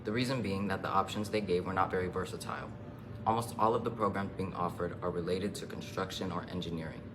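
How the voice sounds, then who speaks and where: A man with a deep voice narrates a scripted news report. Clear background noise runs through the recording. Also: fairly even intonation, fast. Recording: clearly noisy amateur recording.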